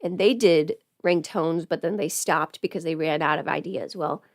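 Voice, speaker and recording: A woman's voice: low voice, normal speed. A woman chatting casually on a livestream while under the weather, thinking aloud as she does her makeup. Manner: chatty, rambling, thinking aloud, sometimes playful. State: sick with a cold or illness. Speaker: livestream host and audio performer.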